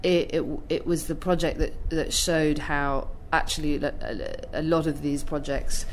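A woman's voice: low-pitched, little pitch movement, normal speed, poor audio. A middle-aged woman talking earnestly as an interview guest, often restarting her sentences as she thinks. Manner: hesitant, many restarts, earnest. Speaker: arts curator, interview guest. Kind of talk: thoughtful conversational interview. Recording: faint background noise.

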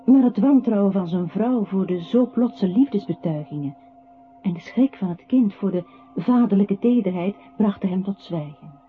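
An actress playing a narrating voice in a literary radio play, observing a composer who withdraws into silence. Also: female voice, medium pitch, lively intonation, moderate pace, faint music behind, lightly acted. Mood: sombre. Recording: muffled, noisy old radio recording.

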